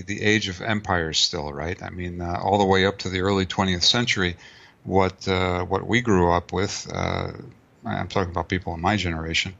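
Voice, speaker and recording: A middle-aged man gives long, wandering interview answers, full of fillers and restarts. His low voice has a gravelly fry and a bright, forceful edge. The audio is dull and lo-fi, like a remote call. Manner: rambling, many restarts and fillers. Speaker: guest author and martial artist.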